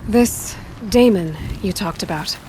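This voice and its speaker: A woman's voice: mid-range voice, slow pace, warm tone, slight background noise, close to an everyday voice, rough recording. Woman as a young, direct outlander huntress. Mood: probing, dry. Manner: direct, probing, dry. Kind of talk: video game character acting.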